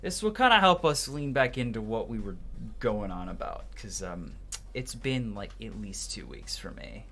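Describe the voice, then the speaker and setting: Animated man doing casual game commentary. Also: lowish voice, normal speed, a little hiss or hum. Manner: informal, slangy. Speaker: let's player voicing a game's dialogue. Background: game music.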